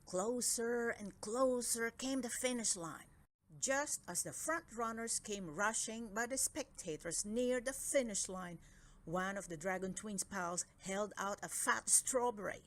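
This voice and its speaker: Unhurried woman reading a children's storybook. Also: medium pitch, fairly bright tone. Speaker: storybook reader. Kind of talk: read-aloud children's story narration.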